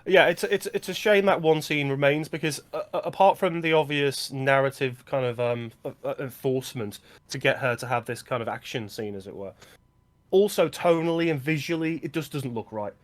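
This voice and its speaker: A man's voice: medium pitch, fairly quick. A man co-hosting a film podcast, talking casually in an easygoing, agreeable way with filler words.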